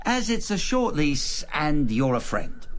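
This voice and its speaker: A man's voice: a little high, sing-song, measured pace, slight background noise. An actor playing an evasive male letting agent with hesitant, wheedling sales patter in a radio drama. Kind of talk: BBC radio drama acting.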